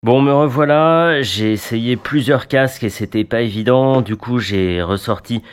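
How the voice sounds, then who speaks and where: A man's voice: medium pitch, unhurried, clear, resonant tone. A man presenting a product review in a conversational, matter-of-fact, unscripted way.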